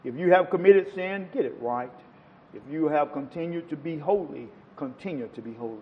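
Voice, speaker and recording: A man's voice: fairly high pitch, expressive, moderate pace, long reverb tail, muffled recording. A man preaching a sermon to a congregation, quoting scripture with rising and falling emphasis. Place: church.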